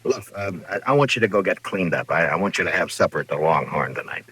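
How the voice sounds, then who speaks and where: A stern American frontier lawman from 1950s radio drama. His voice is deep, recorded with no room around it. He speaks in clipped, dry, commanding phrases, shrewd and a little weary. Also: animated, fast, fairly bright tone, husky, no echo, exaggerated voice. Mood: dry, patient. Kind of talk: 1950s radio western acting.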